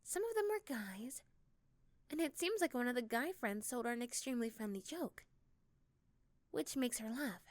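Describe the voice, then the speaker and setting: High-voiced woman narrating an ASMR story. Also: lively intonation, moderate pace, long pauses, no echo. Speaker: narrator and voice performer. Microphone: close microphone.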